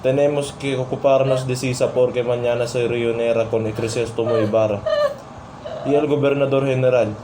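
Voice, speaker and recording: A man's voice: medium pitch, steady tone, fairly quick, very noisy, close to an everyday voice. A man playing an angry, bossy husband of authority in a radio play, barking curt orders. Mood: stern. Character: angry, foul-mouthed, bossy Spanish-speaking husband of authority. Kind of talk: amateur radio play acting.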